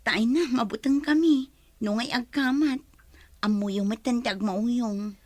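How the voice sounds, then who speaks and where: A woman's voice: a little high, unhurried, darkish tone, no room sound. A woman playing a blunt, gossipy village mother in a radio drama, chatty and outspoken. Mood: gossipy, wary.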